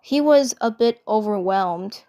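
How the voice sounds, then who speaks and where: A woman's voice: mid-range voice, lively intonation, a little slow, mellow. A woman storyteller narrating fan fiction, often repeating her phrases.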